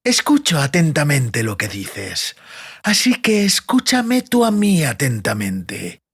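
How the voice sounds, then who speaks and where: A man performs a sadistic male interrogator from a fantasy audiobook. He speaks slowly and deliberately, with lively rises and dips. The manner is coldly menacing, sneering and mocking. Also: on the high side, slightly rough, full character voice. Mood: stern questioning.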